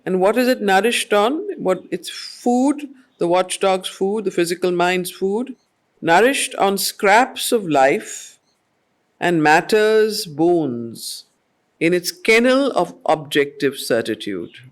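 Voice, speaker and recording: A mature woman reads poetry and explains it to a study group in a deliberate, measured way. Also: lowish voice, animated. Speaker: study circle facilitator, teacher. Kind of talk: slow poetry reading with explanatory teaching.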